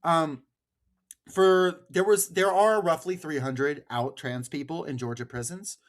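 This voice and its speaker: Emphatic American man hosting a podcast. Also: a little high. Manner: emphatic, profane, halting with repeated words. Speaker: podcast host. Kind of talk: casual political discussion.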